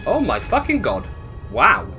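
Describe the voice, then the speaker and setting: A man's voice: fairly high pitch, wildly swooping pitch, unhurried, bright, forceful tone, soft background music. A man giving casual, chatty gameplay commentary, thinking aloud and questioning himself as he goes. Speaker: gamer narrating a let's play.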